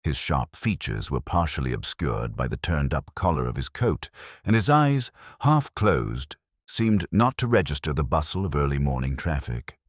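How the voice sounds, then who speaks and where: A man reading a scripted detective story as narrator, in a measured, literary storytelling manner. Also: very deep voice, very animated, no echo, clean studio sound.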